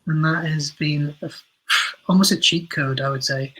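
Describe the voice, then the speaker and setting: A male podcast guest talks casually and reflectively. His voice sits a little high for a man and moves within a narrow range. He is earnest, often restarting a phrase as he thinks. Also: fairly even intonation, normal speed, a bit bright. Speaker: podcast guest, writer and director.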